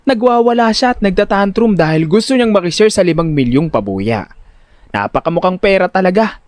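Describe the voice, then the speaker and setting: A man giving expressive, comically exasperated first-person narration of a dramatic audio story. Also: high-pitched, brisk, no echo. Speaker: narrator and lead voice actor.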